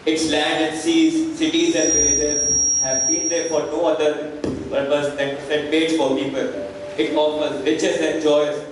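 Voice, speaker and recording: A man's voice: fairly high pitch, level tone, measured pace, close to an everyday voice. A man playing a poor, hungry, bitter rat-trap peddler in an amateur stage play, declaiming theatrically. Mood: bitter, musing. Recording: echoey hall, far mic, noisy.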